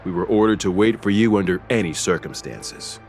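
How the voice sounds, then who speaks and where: A low-voiced soldier standing watch in a fantasy game. He sounds dutiful and earnest, with formal phrasing and some anxiety, over faint music. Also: brisk, darkish tone. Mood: earnest, worried. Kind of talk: English video game dub acting.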